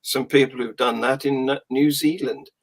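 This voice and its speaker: A man hosting a live-streamed talk show, brisk, plugging links and adding quick remarks. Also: mid-range voice, steady tone, husky. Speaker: show host.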